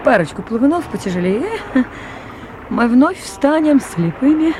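A woman's voice: sits high, sing-song, unhurried. An actress playing a lone woman at a river station in a Soviet radio play, speaking tenderly and with brave unease, as if to a dog and to herself. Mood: thoughtful, resolute. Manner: talking soothingly to a dog and to herself. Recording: noisy 1980s radio play, outdoor water ambience.

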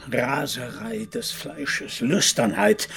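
An actor playing a haughty, fanatical killer in a radio crime drama, declaiming with contempt in a gravelly voice. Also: male voice, medium pitch, very animated, slow pace, fairly bright tone, a little hiss or hum, full character voice. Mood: fanatical, solemn. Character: pompous, disdainful male zealot and killer, cornered.

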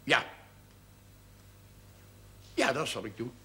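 An actor playing a rough, hot-tempered drinker who talks bluntly and colloquially in a television drama. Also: male voice, mid-range voice, huge pitch swings, takes it slowly, pushed and bright, exaggerated voice. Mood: gruff, candid. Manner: blunt, plain-spoken, colloquial. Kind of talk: 1970s Dutch television drama acting.

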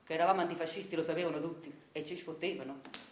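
A woman's voice: low voice, steady tone, very fast, natural voice. A woman playing a meek, henpecked, middle-aged Neapolitan clerk in a theatrical radio monologue, telling a resigned and self-pitying tale. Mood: wistful. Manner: rueful, self-pitying storytelling. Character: meek henpecked Italian clerk of forty-seven, resigned and wistful. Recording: roomy echo, faint noise.

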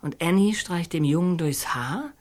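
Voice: medium pitch, a little slow, natural voice. An actor in a radio play voicing an earnest, awestruck storyteller who hesitates, searches for words and repeats phrases. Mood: moved. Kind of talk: radio play monologue in halting colloquial speech.

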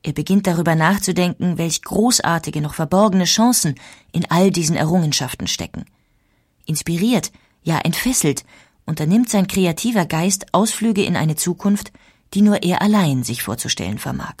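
A woman narrating a historical audiobook in a measured, formal way. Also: fairly low pitch, expressive, husky. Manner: measured, formal documentary delivery.

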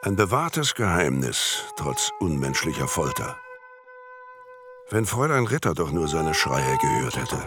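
A very deep male narrator tells a crime radio drama. He is knowing and ironic in his scripted delivery. Music plays clearly underneath. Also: big pitch swings, measured pace, full character voice.

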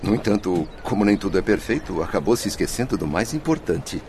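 A vain, well-to-do merchant in a radio play. His low voice swings about in lively, pompous phrases, fussy and demanding, with faint outdoor noise behind. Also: a little fast. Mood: smug, fussy. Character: vain, demanding male spirit, former wealthy port merchant. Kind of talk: radio drama acting.

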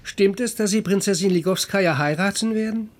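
An actor playing a gossipy town doctor who gives cautioning, insinuating advice in a radio drama. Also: male voice, sits high, brisk, loud music behind the voice, close to an everyday voice.

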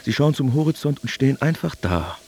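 An actor playing a weary, detached observer in a laconic first-person radio drama monologue. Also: male voice, mid-range voice, lively intonation, a little fast, slight background noise, close to an everyday voice. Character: weary, detached man watching the world. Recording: loud music behind the voice.

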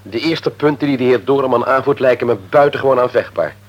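A man's voice: medium pitch, a little fast, dull audio, close to an everyday voice. An actor playing a formal, indignant male company secretary in a radio play, stiffly polite in manner. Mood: affronted, polite. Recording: old radio play recording.

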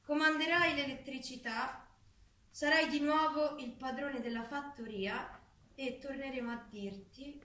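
An actress playing a farm animal, reading aloud deliberately in a stage drama. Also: female voice, high-pitched, pushed and bright. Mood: reciting. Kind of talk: theatrical read-aloud. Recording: echoey room, distant mic.

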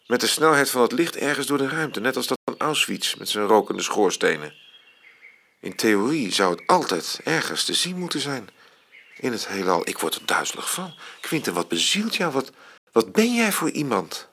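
An actor playing a pensive middle-aged astronomer in a radio drama. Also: male voice, mid-range voice, creaky, sharp tone, a little hiss or hum, full character voice.

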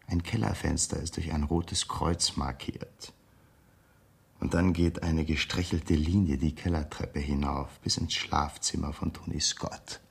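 A man acting in a radio drama as a cold ex-convict blackmailer, speaking in a measured, threatening and insistent way. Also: very deep voice, fairly even intonation, vocal fry, breathy edge, exaggerated voice.

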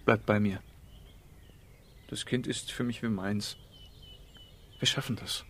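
An actor playing a wounded, bitter betrayed husband in a naturalistic radio drama, terse and confrontational. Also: male voice, on the low side, steady tone, slow pace. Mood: pleading.

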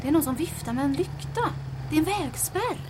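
A woman's voice: high-pitched, animated, noisy background. A woman playing a spirited, practical young woman in an audio drama, eager and exclamatory as she bickers with her companion. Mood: watchful.

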